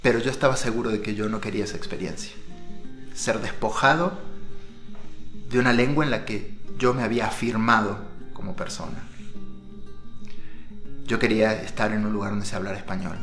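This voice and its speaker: A man's voice: fairly low pitch, fairly even intonation, a little fast, long pauses, fairly bright tone, faint music behind. A man speaking firmly and earnestly in a documentary interview. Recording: roomy echo.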